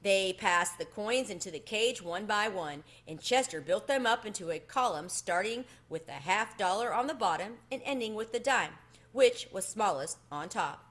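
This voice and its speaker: A woman reading a children's novel aloud as an expressive storyteller. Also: mid-range voice, moderate pace. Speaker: storybook reader.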